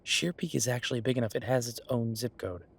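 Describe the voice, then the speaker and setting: A man playing an easygoing chef in an audio roleplay, talking in a casual, musing, explanatory way to his partner, with a slightly husky voice. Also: mid-range voice, fast talker. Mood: explaining, persuasive. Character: easygoing male chef planning restaurant business with his partner. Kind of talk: one-sided roleplay acting, casual chat.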